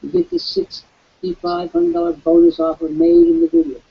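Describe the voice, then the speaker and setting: A man hosting a live online webcast, with a croaky voice, giving an emphatic, preacherly sales pitch. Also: sing-song, normal speed, lo-fi sound. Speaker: webcast host.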